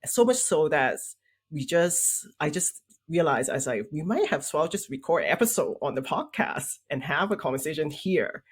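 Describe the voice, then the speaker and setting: A young adult woman hosting a career podcast, speaking in an earnest, measured and reflective way. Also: deep voice, lively intonation, slightly rough. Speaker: podcast host. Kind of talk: podcast intro and interview.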